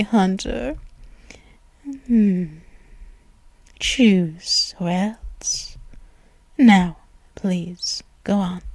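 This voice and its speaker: A dominant female vampire, played by a woman, lingers over every word at a very slow pace, purring and slipping into soft whispers. Her teasing carries a quiet menace. The voice sits dry and close with no room around it. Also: medium pitch, no echo. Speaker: audio roleplay performer. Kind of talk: ASMR roleplay.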